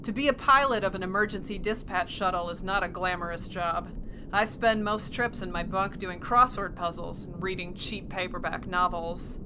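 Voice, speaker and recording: A woman's voice: lowish voice, moderate pace, noisy background, natural voice. A woman playing a wry, matter-of-fact emergency shuttle pilot in an audio drama. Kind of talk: audio drama first-person narration and dialogue.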